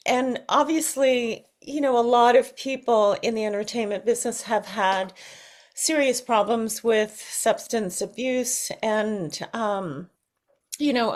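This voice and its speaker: A middle-aged American woman reflecting in an interview, thoughtful and often halting as she searches for words. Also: fairly high pitch. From United States. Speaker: interview guest, singer.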